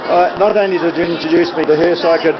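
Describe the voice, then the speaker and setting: Older NZ man, chatty rambler, a touch high-pitched, lo-fi recording in a packed room. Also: fairly even intonation. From New Zealand. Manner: chatty, meandering, many filler pauses. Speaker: live-streaming videographer. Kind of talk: rambling casual chat. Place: busy indoor boutique at an event. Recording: dull lo-fi audio with loud crowd.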